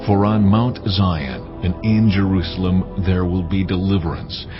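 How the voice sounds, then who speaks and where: A very low, solemn voice of God, declaims scripture with measured authority. The audio is slightly dull, with music clearly playing underneath. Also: male voice. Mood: solemn, commanding. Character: God, solemn authoritative male deity addressing his people. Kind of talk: dramatized scripture reading. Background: clear background music.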